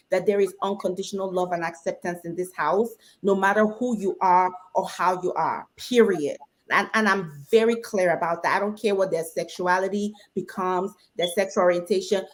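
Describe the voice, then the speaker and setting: Earnest middle-aged woman from Nigeria. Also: medium pitch. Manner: candid, earnest storytelling. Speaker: doctor and panel guest. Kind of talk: webinar panel discussion.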